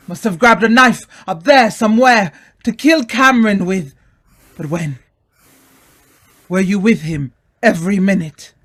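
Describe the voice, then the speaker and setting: An earnest gentleman sleuth played by a male actor, speaking deliberately and slowly. The delivery is theatrical and exclamatory, in the style of old radio drama. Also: high voice. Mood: urgent, resolute. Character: earnest, take-charge British gentleman amateur sleuth.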